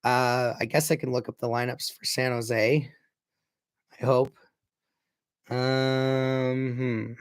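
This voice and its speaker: A hoarse man with a raspy voice chatting casually as a hockey fan livestreamer, often correcting himself as he previews a game. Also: mid-range voice, flat delivery, takes it slowly, pauses often, no echo. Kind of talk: casual pregame preview chat.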